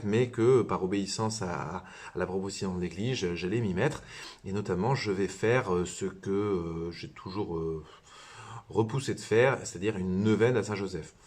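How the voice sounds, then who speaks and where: A low, husky male voice of a priest from southern France talking informally about faith. He speaks haltingly, full of fillers and repeated starts, as if thinking aloud to his viewers. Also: darkish tone. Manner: hesitant, many fillers and restarts. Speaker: priest and religious brother. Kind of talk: informal spiritual teaching to camera.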